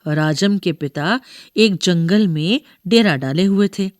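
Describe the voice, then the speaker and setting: A woman's voice: lowish voice, takes it slowly, no room sound, clean studio sound. A woman narrator reading a scripted story for schoolchildren.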